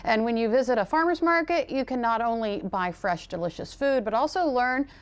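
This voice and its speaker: A woman's voice: fairly high pitch, expressive, a little fast, smooth. An older woman hosting a TV show, introducing a segment from the studio. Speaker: TV show host.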